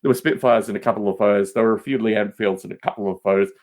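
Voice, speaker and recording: A man's voice: lowish voice, steady tone, very fast, a little mellow. A man speaking as a podcast guest in casual, rambling banter, emphatic and prone to digressions and mildly exasperated exclamations.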